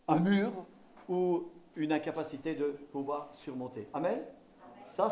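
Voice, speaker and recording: A man's voice: on the high side, darkish tone. A man from eastern France preaching a sermon as a visiting pastor, exhorting and repeating key phrases for emphasis.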